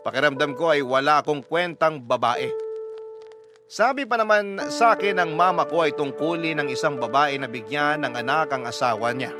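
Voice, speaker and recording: A man's voice: a little high, moderate pace. A man narrating a scripted, confessional letter in the first person for a radio story. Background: background music.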